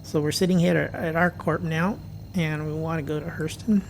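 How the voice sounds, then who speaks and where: Raspy man narrating his gameplay. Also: on the high side, steady tone, normal speed, slight background noise. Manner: chatty, jokey tour-guide narration. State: hoarse, clearing his throat. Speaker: game streamer. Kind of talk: casual gameplay commentary. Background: game music and engine sounds.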